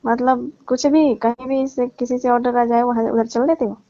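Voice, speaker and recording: A woman's voice: a little high, fairly even intonation, normal speed, warm tone, no echo, close to an everyday voice. A woman playing a flirtatious, needy married aunty in a staged phone-call skit, pleading and complaining suggestively. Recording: dull, lo-fi call recording.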